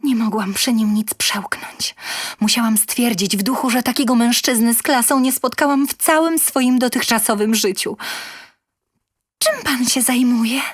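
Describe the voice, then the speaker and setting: A woman reading a scripted first-person short story aloud with expressive, dramatic delivery. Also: on the high side, normal speed, dry recording, studio quality. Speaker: story reader.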